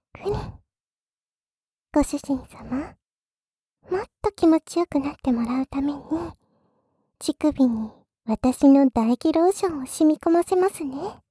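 Woman playing a high, soft, teasing maid. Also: dry recording, clean studio sound. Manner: slow, breathy teasing, often dropping to whispers. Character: coy young maid, teasing, secretly lewd, dominating her master. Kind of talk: intimate adult ASMR roleplay.

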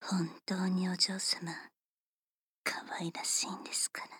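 A woman voicing a polite, teasing female servant who attends a young lady, speaking in formal, unhurried whispers for an ASMR roleplay. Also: on the low side, little pitch movement, a bit bright, husky, no room sound, clean studio sound, full character voice.

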